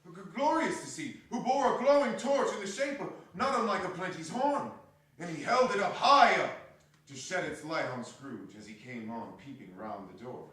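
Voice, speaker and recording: A man's voice: a little high, fairly bright tone. A man narrating a story aloud in a theatrical dramatic reading before a microphone in a hall. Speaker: narrator and reader. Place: performance hall, live reading. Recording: echoey hall, distant microphone.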